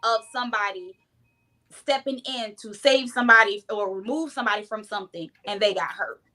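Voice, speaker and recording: A woman's voice: mid-range voice, a little fast, a crowd in the background. A woman in a casual group discussion, arguing her point insistently and acting out what she would say.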